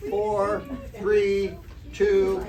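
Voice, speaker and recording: A man announcing an old-time radio show on stage in a theatrical, measured, emphatic delivery. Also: high voice, completely flat delivery. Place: live theater performance, picked up from a distance. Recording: roomy, distant stage recording with background noise.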